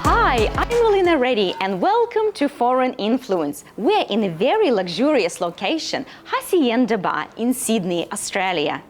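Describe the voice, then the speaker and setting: A woman's voice: on the high side, big pitch swings, moderate pace, hardly any pauses, soft background music. A woman hosting an interview with an enthusiastic presenter delivery, recorded at a bar venue. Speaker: interview host. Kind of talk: hosted interview.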